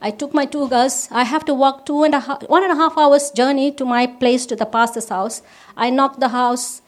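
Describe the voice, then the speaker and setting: A woman from Malaysia, giving a personal testimony at a church service in halting English with restarts. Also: sits high, steady tone. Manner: halting, with restarts and simple non-native grammar.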